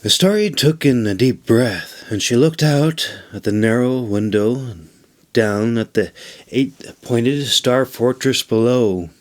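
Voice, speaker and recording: A man reading his own fantasy novel as a scripted audiobook narration, with deliberate, measured phrasing. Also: mid-range voice.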